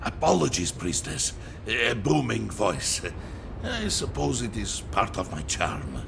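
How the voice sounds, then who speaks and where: Hearty boastful warrior, booming, gravelly, slow, courteous, fantasy game. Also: male voice, wildly swooping pitch, noisy background, exaggerated voice. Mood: apologetic. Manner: simple phrasing, refers to himself by name. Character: big, booming, good-natured warrior man, boastful yet courteous. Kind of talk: fantasy game character acting.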